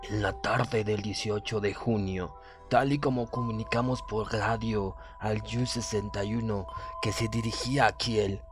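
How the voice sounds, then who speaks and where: A proud German submarine commander, read by a man, recounts disaster in a formal, measured way. The voice is fairly low and coldly pompous, with music clearly behind it in audiobook style. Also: steady tone, natural voice. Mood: cold, matter-of-fact. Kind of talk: dramatic audiobook reading.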